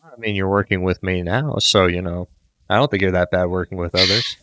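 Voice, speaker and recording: Man, podcast host, very deep voice, smooth, rambling casual questions, dry close mic. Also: steady tone, brisk, pushed and bright, no echo. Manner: rambling, filler-heavy questions. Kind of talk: casual interview chat.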